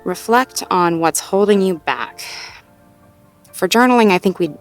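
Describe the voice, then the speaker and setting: A woman playing a sweet, supportive girlfriend in an intimate audio roleplay, talking in a gently upbeat, conversational way as she thinks aloud. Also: mid-range voice, expressive, a little slow, soft background music, close to an everyday voice. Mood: thoughtful, supportive. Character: sweet, supportive girlfriend working through goals with partner.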